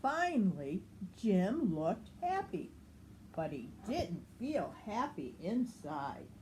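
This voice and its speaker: A woman's voice: mid-range voice, very animated, slow, warm tone. An older woman, a grandmother, reading a children's picture book aloud, with noticeable background noise. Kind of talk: storybook read-aloud for children.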